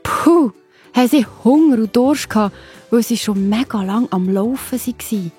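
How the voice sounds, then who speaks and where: A woman narrator with a fairly high but mellow, husky voice tells a children's story in a Swiss German accent. She speaks simply and directly to young listeners, recorded dry and close. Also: lively intonation, a little slow, faint music behind.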